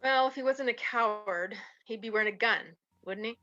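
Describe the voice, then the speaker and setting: Actress as knowing frontier saloon woman, gossipy, highish bright voice, western radio play, slightly dull recording. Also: normal speed. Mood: knowing, teasing. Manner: casual, pointed, questioning. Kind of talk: old western radio drama acting. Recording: slightly dull recording, guitar music behind.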